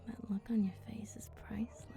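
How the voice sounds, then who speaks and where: A woman voicing a flirty, teasing female vampire in an ASMR roleplay, with a breathy voice that often drops to a whisper. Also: mid-range voice, little pitch movement, natural voice. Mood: seductive teasing. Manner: slow, teasing, coaxing.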